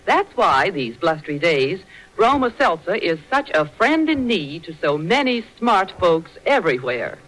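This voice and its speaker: A man's voice: sits high, big pitch swings, a little slow, fairly bright tone, rough recording. A man reading a commercial in a 1940s radio announcing style.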